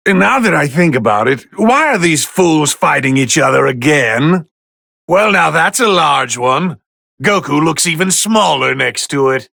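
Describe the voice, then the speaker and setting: An actor playing a haughty, bored, easily irritated god of destruction who gives pompous, theatrical commentary in a fighting video game, with a gravelly voice. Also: male voice, a little high, lively intonation, unhurried, sharp tone, no room sound, clean studio sound, full character voice. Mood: bored disdain. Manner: pompous, theatrical, drawling disdain.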